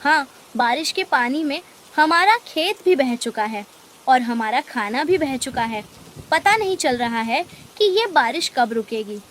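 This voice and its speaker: Woman as a high-voiced, worried forest bird. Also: animated, a little slow, a bit bright, a little hiss or hum. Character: worried, hungry forest bird seeking help. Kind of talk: animated children's moral story dialogue.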